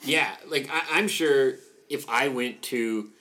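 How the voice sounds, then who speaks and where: A man's voice: mid-range voice, slow pace. Chatty Canadian man. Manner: chatty, animated, playfully nerdy. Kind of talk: casual chat.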